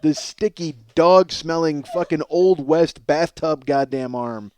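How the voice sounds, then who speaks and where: A man's voice: fairly high pitch, takes it slowly, darkish tone, smooth, no echo. A man hosting a comedy podcast in a rambling, self-mocking monologue, ranting with profane exasperation.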